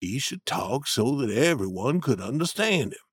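A man reading an audiobook, voicing a stubborn grown man who argues slowly and insistently from common sense. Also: medium pitch, big pitch swings, husky, no echo, studio quality, pitched below the performer's own voice. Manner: slow, insistent, arguing.

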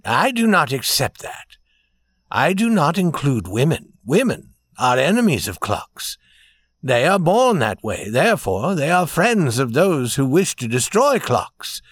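A man's voice: fairly high pitch, very animated, unhurried, husky, dry recording, clean studio sound, pitched above the performer's own voice, heavily acted. A man voicing a proud, kindly, commanding prince in a storybook, speaking in grand, earnest pronouncements. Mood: gentle, persuasive.

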